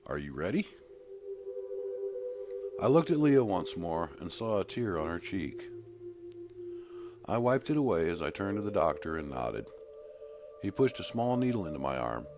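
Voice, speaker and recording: A man narrating a first-person short story. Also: low voice. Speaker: author-narrator. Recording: clear background noise, ambience.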